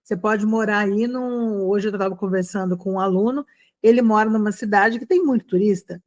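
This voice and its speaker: A woman's voice: medium pitch, brisk. Brazilian woman teaching, encouraging and chatty. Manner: animated, encouraging, chatty with frequent check-in questions. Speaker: online English teacher. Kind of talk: conversational lesson addressed to students.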